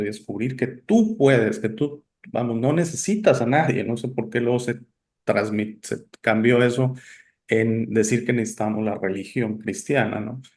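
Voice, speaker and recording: A man's voice: mid-range voice, a little mellow. A man born in Italy hosting a casual interview, talking in a chatty, rambling way with many fillers and restarts. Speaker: interview host.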